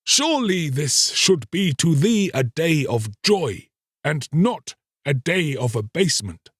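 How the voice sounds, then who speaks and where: A man's voice: fairly high pitch, very animated, slow pace, breathy edge, dry recording, clean studio sound, pitched above the performer's own voice, heavily acted. A man voicing a grave, concerned old bishop in a storybook tale, questioning in a formal, paternal way.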